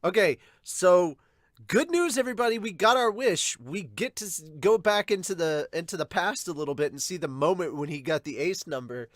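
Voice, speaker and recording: A man's voice: high-pitched, lively intonation, normal speed, no room sound. Sniffly man rambling casually. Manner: rambling, self-deprecating, mild swearing. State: congested, sniffly from sinus trouble. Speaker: podcast host and manga reactor. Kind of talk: casual reaction commentary.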